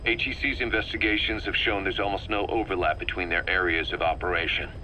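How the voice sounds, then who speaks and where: A man playing a hardened, wry mercenary officer giving a deliberate, emphatic briefing in a video game. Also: low voice, fairly even intonation, sharp tone, a little hiss or hum, rough recording. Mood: businesslike, warning.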